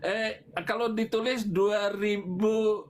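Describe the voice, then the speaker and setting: Slow, high-pitched man preaching. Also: steady tone, a little hiss or hum. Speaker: preacher answering questions on a livestream. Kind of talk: extemporaneous religious polemic talk.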